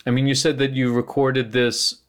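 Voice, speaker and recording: Brief: man, interviewer, resonant smooth voice, halting questions. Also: mid-range voice, little pitch movement. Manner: hesitant, restarts questions.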